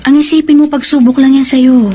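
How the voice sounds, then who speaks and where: A woman's voice: high voice, level tone, brisk, warm tone, lightly acted. A woman playing a sweet, coy middle-aged woman newly in love in a radio drama, with a Filipino accent. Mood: tender, content. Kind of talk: Tagalog romantic radio drama acting. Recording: faintly noisy radio drama track.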